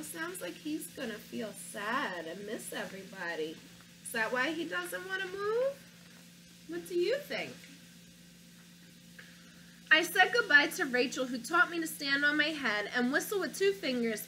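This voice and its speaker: A woman teacher reading a picture book aloud to children, with an expressive storytelling delivery, stopping to ask her young listeners questions. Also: sits high, slight background noise, low-quality recording.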